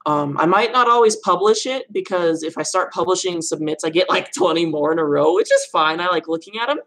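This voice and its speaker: A young woman with a notably deep voice and a gravelly edge of vocal fry. She chats loosely and rambles while answering viewer questions on a live stream from her room at home. She laughs often. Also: a bit bright. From Arizona, USA. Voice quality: low voice. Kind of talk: casual live Q&A chat.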